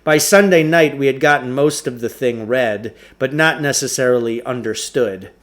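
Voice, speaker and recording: A man's voice: mid-range voice, normal speed. A man reading a children's novel aloud as a storybook narrator.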